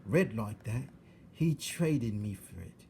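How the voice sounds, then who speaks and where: A man voicing a naive, excitable young servant girl in a storybook, exclaiming in loud, rustic, ungrammatical speech. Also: on the low side, slow, vocal fry, slight background noise, full character voice. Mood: amazed. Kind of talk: storybook character voice.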